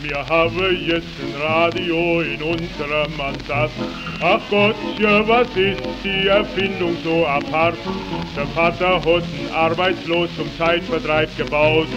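A man's voice: a little high, steady tone, slow, highs cut off. A man singing and half-speaking a theatrical cabaret-style song from an early radio recording. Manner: theatrical sung and half-spoken delivery. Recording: scratchy 1920s record with loud music.

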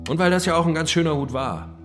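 A man's voice: a little high, fast talker, over music, voice pushed up, natural voice. Man playing a defiant robber, voice raised. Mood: defensive. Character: defiant young male robber on trial. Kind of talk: German radio play acting.

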